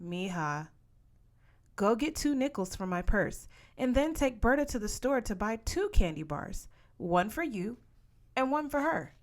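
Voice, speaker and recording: Storybook mother, played by a female narrator: firm, coaxing, commanding, quick to tease. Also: a little high, very animated, pitched above the performer's own voice. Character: firm, no-nonsense Mexican American mother, teasing.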